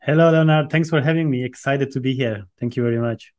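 A man raised in Italy talks through his answers in a loose, conversational way, circling back and restarting phrases while he works out his thoughts. His voice sits at an ordinary middle pitch, with a dry, self-deprecating humor. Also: quick pace, darkish tone. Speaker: podcast guest, branding strategist. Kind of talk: casual interview answers.

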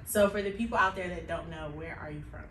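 A woman's voice: fairly low pitch, fast, a bit bright. A woman with an American accent, conducting a conversational interview. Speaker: interviewer, church social media member. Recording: echoey, distant microphone, faint noise.

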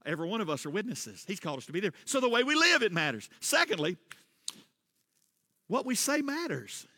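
A middle-aged pastor preaches in a high, bright voice that climbs into loud, hammering refrains. His sermon rings out in a church hall with a long, lingering reverb. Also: very animated, a little fast. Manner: preaching with emphatic rises, loud repeated refrains. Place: church sanctuary.

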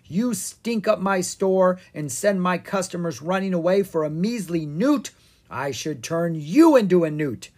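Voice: mid-range voice, expressive, a little slow, voice pushed up. A reader doing a crusty grumpy old wizard. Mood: snarling. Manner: snarling, theatrical spell-shouting.

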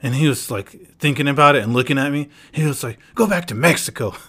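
A man from the United States hosting a casual podcast chat and telling stories in a relaxed way, often slipping into quoting what people said to him. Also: mid-range voice, noisy background. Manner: relaxed storyteller, quoting people in quick asides. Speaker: podcast host.

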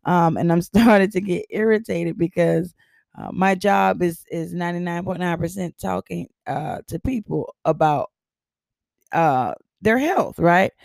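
A hoarse older woman hosting a casual motivational podcast, her voice husky and raspy, chatty with lots of ums and easy laughs. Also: fairly low pitch, unhurried, darkish tone, no echo, studio quality. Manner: chatty, laughs often, many ums and uhs. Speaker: podcast host. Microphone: podcast microphone.

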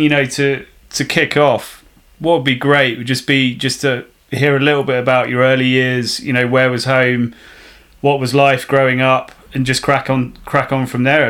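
A man's voice: mid-range voice, a bit bright. A male podcast host with a friendly, rambling way of asking questions. He stops and restarts his sentences often as he looks for the right words. He keeps an easy conversational tone. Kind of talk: podcast intro and interview.

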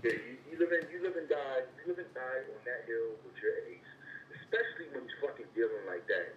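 A man's voice: medium pitch, sing-song, fast talker. A man calling in to chat about sports over the phone, speaking bluntly and emphatically with casual swearing. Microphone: phone call. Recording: roomy phone call with faint noise.

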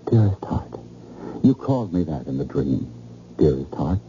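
Halting, obsessed radio-drama husband. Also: male voice, rumbling low, sing-song, mellow. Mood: dazed, nervous. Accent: American. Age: middle-aged. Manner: halting, repetitive, intense. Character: obsessed, nervous, helplessly infatuated middle-aged married man. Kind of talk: old-time radio drama acting. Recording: muffled, noisy old radio recording.

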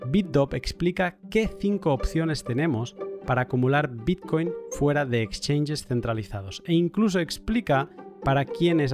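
A man hosts a podcast in a relaxed, measured way. His voice sits in a middle pitch, he often pauses to rephrase, and a little music plays softly behind him. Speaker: podcast host. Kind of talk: podcast intro and conversational interviewing.

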